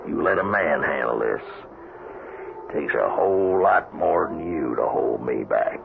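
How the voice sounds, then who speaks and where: Creaky, sly cowboy villain. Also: male voice, lively intonation, a little slow, loud music behind the voice, exaggerated voice. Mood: menacing. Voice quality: creaky, low. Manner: drawling, taunting, folksy. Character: sly, leering cowhand bully, menacing and cocky. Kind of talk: old-time radio western acting. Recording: muffled, noisy old radio broadcast.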